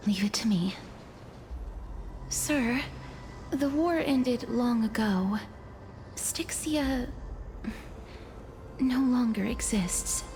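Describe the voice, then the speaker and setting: A woman playing a gentle, solemn young priestess in a video game, speaking softly and breathily in a measured, composed way. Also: a little high, lively intonation, a little hiss or hum, exaggerated voice. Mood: calm, resolute. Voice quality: soft, breathy, whispery. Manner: slow, measured, composed delivery.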